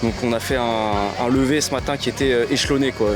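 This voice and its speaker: A man's voice: medium pitch, fairly even intonation, fast talker, over music. A man leading a group on a pilgrimage, giving a chatty, enthusiastic interview. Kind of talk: casual interview.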